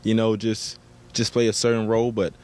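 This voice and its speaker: A man's voice: on the low side, little pitch movement, fairly quick, a little mellow. An American man, an athlete, answering interview questions casually with thoughtful, hesitant phrasing. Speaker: professional basketball player. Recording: clear background ambience noise.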